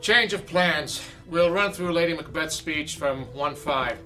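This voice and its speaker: An actor in a radio drama playing a brisk male theater director who gives instructions with authority. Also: on the high side, music in the background, lightly acted. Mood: brisk, impatient.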